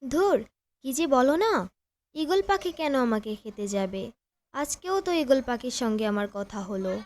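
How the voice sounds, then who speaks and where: A woman voicing a trusting female blue bird in a children's cartoon, with a gentle, naive manner. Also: high voice, expressive, moderate pace. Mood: trusting, dismissive.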